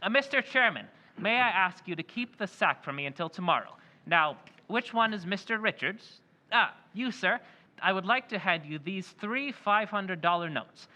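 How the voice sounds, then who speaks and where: A man's voice: sits high, animated, moderate pace, bright, forceful tone, full character voice. A man playing a mysterious male stranger in an old-time radio play, speaking firmly and precisely. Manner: firm, precise, clipped.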